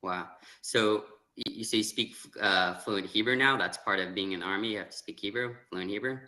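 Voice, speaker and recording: A man interviewing a guest, asking halting, hesitant questions. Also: fairly low pitch, bright, forceful tone.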